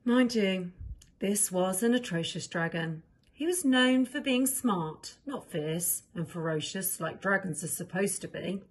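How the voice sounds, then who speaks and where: Animated woman reading a children's story. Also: medium pitch, a little slow, slightly rough. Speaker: story reader. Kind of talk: expressive children's storybook narration.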